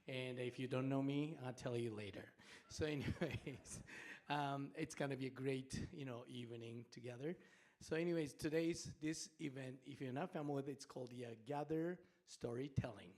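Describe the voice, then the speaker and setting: A middle-aged man from Japan, a native Japanese speaker, hosting a storytelling night on a theater stage in a halting, chatty way with frequent laughs and fillers. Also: medium pitch, darkish tone. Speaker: host and storyteller. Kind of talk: casual live stage hosting.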